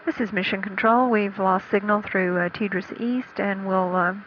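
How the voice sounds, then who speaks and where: A woman giving unscripted, matter-of-fact status commentary from mission control in a halting way. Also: mid-range voice, fairly even intonation. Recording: dull archival radio feed with faint noise.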